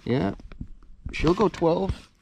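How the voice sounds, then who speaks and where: A man's voice: high voice, drawn-out words, vocal fry, very noisy. A young man narrating his own fishing trip from a kayak on a lake, talking casually to himself. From New Hampshire. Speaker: fishing vlogger. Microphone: head-mounted action camera.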